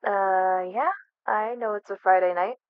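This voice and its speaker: A woman's voice: mid-range voice, steady tone, unhurried, clean tone, no echo, narrow, tinny audio, close to an everyday voice. A young woman acting in an ASMR roleplay as a flustered best friend hiding a crush, speaking casually with hesitant stammers. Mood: casual, evasive. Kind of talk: ASMR roleplay, one-sided conversational acting.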